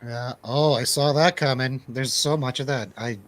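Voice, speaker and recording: A man's voice: mid-range voice, fairly even intonation, moderate pace. A man hosting a radio show, chatting casually about movies in a colloquial, jokey, agreeable way. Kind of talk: casual movie review chat.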